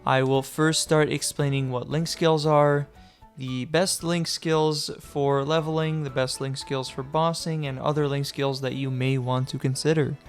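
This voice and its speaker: A man's voice: mid-range voice, level tone, a little slow, soft background music. A young man narrating a casual game tutorial, chatty and joking, with loose fillers, a little sick. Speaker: gaming guide creator.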